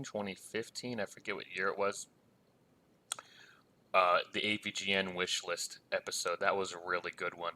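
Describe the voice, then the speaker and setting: A man's voice: sits low, sharp tone. A man in his thirties giving casual commentary, hesitant and rambling, with many ums and trailing thoughts. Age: 30s. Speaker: reaction video host.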